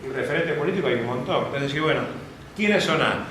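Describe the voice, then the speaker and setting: A man's voice: on the high side, expressive, brisk, fairly bright tone. Man, Argentine accent, storytelling lecturer, checks in with the audience, very echoey classroom, faint hiss. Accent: Argentine Spanish. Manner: anecdotal, asks the audience for confirmation. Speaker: guest lecturer.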